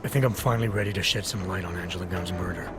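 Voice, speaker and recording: An actor playing a serious, guarded and quietly stubborn investigator in a video game, speaking in terse, deliberate lines. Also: male voice, deep voice, background noise. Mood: grave, certain. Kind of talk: video game cutscene acting.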